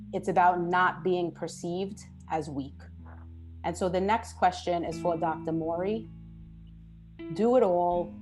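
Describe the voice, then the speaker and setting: Slow, low-voiced woman moderating a discussion. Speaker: host and panel moderator. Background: plucked guitar music.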